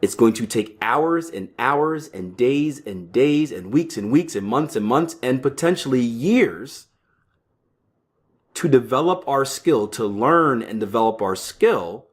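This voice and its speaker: A man in his early thirties hosting a podcast, talking casually with frequent "right?" tags and restarts. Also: mid-range voice. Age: 30s. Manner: conversational, keeps tagging lines with "right?"; stumbling restarts and fillers, offhand asides. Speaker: podcast host. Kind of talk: casual solo talk on trading psychology.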